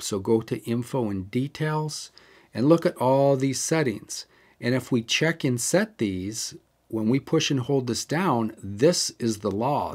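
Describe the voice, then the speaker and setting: A man hosting a camera tutorial, talking casually and walking through settings step by step with the odd digression. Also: mid-range voice. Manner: chatty, digresses, explains step by step. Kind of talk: casual how-to walkthrough.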